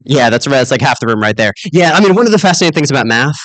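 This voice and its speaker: Male conference speaker, easygoing and chatty, mid-pitched voice, dry close mic. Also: sing-song, racing, fairly bright tone, dry recording. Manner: casual, conversational, with fillers. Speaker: keynote speaker. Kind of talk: conference keynote to teachers. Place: conference room.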